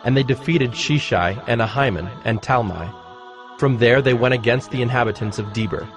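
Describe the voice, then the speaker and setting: A man narrating scripture from a script in a measured, formal manner. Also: medium pitch. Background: music.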